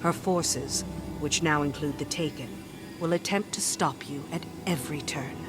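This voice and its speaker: An actress playing a serious, commanding strategist in a video game, giving a measured, explanatory mission briefing. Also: female voice, deep voice, music in the background, natural voice. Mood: grave, urgent. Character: grave, commanding woman strategist briefing a soldier.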